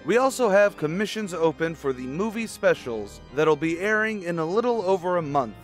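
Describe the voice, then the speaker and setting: A man's voice: high voice, animated, normal speed, soft background music. A man announcing an old-time style radio show in a projected, presentational manner.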